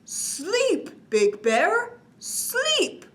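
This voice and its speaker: A woman voicing an exasperated old male winter spirit in a children's storybook, giving drawn-out, emphatic commands. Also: very high voice, wildly swooping pitch, a bit bright, a little hiss or hum, voice pushed up, full character voice. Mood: urgent warning.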